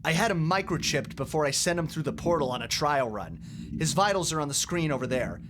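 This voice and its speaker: A man playing an eccentric, lonely mad scientist and loyal friend in an amateur audio drama, excitable and halting when he confides. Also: fairly high pitch, noisy background, close to an everyday voice. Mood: brisk, upbeat.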